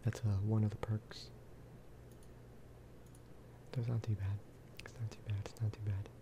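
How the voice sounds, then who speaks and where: A man giving soft-spoken ASMR commentary over a game, casual and rambling. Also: lowish voice, flat delivery, unhurried, heavy background noise. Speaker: gaming video creator.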